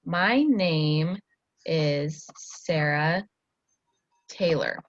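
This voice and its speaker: Slow-paced American woman teacher, slightly low voice. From Iowa, United States. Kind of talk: informal instruction to students. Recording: dull lo-fi audio with faint noise.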